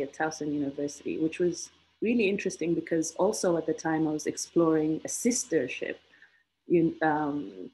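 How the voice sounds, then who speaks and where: A woman in her thirties from Egypt, a guest on an online interview, telling her story in a lively way with frequent laughs and repeated phrases for emphasis. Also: low-pitched, low-quality recording. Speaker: guest, institute alumna. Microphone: video call.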